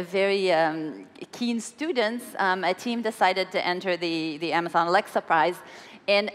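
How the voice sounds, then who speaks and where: A woman in her forties giving a technical keynote on stage, explaining her work in a measured way with some ums and restarts. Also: medium pitch. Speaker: keynote speaker. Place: large conference hall on stage. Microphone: podium microphone and headset mic. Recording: long hall reverb.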